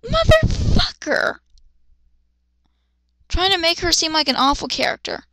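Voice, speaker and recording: A woman with a high, sharp voice reads fiction dramatically, breaking into exasperated commentary. She is sarcastic and swears freely, with fry in her voice and a close, dry sound. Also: wildly swooping pitch, measured pace, no echo. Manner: exasperated, sarcastic, foul-mouthed. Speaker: host of dramatic fan fiction readings. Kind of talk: dramatic reading with ranting asides.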